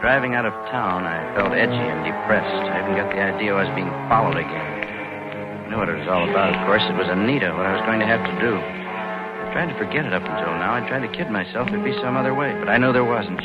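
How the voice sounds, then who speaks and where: Male actor as brooding American embezzler, low pitch, slangy noir narration, dull 1940s radio sound. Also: lightly acted. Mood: edgy, brooding. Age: 30s. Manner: hard-boiled, slangy, brooding. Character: cold, scheming male embezzler narrating his own crime. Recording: muffled old radio broadcast, music behind.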